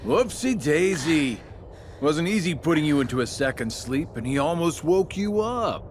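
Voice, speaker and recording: An actor playing a smug, sardonic video game villain with a teasing, casual drawl. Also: male voice, fairly high pitch, lively intonation, normal speed, a little hiss or hum, natural voice. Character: smug, mocking male villain with playful casual swagger.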